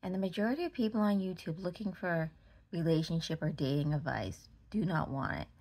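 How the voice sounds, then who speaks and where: Low-pitched Boston woman, emphatic. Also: brisk, darkish tone. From Massachusetts, United States. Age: 40s. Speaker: vlogger. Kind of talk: casual opinion rant to camera. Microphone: phone at arm's length.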